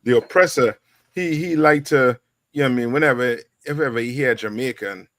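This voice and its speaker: A man's voice: medium pitch, brisk, fairly bright tone, no room sound. A man co-hosting a podcast in casual talk, often chiming in with brief affirmations. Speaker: podcast co-host.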